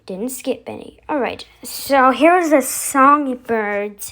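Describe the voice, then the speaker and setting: A woman with a high voice chats casually as a video host. She speaks slowly and haltingly, thinking out loud as she introduces each step. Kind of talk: casual chat to camera, demonstrating voice effects.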